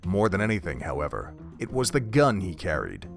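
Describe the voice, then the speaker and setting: A man's voice: deep voice, huge pitch swings, brisk, vocal fry, faint music behind. A man reading scripted story narration as the narrator of an audio drama.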